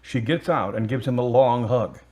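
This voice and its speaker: Male narrator for scripted drama, mid-pitched and husky. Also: moderate pace, a little mellow.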